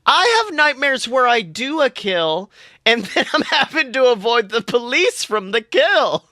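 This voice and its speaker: A woman co-hosting a podcast, bantering casually about a TV show with excitable, dramatic emphasis. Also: fairly high pitch, unhurried, no room sound, clean studio sound. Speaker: podcast co-host.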